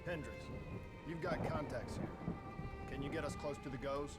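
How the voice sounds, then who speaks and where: An actor playing a businesslike, determined soldier in a video game cutscene, speaking tersely. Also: male voice, fairly high pitch, normal speed, close to an everyday voice. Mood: focused. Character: businesslike, determined male soldier on covert mission. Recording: music and effects behind.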